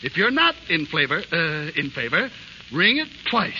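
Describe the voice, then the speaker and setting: A man's voice: on the high side, big pitch swings, moderate pace, sharp tone, slight background noise, full character voice. An actor in 1930s radio style playing a flustered, bumbling old dwarf leader who stammers and tangles his words. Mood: fussy. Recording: muffled 1930s radio, music behind.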